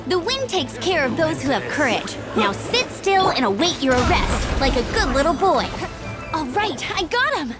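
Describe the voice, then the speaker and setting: A woman's voice: high-pitched, very animated, moderate pace, loud music behind the voice. A woman voicing a bold, plucky young female scout in a video game, perky, earnest and confident.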